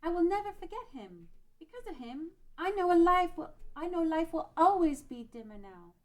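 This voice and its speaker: A woman's voice: extremely high pitch, animated, normal speed. A woman playing a wistful young island girl in a fantasy table read. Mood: sad, wistful.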